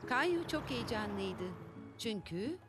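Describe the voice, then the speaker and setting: A woman narrating a preschool cartoon as a gentle storyteller reading scripted lines for young children. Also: on the high side, very animated, slow pace. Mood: gentle, storytelling.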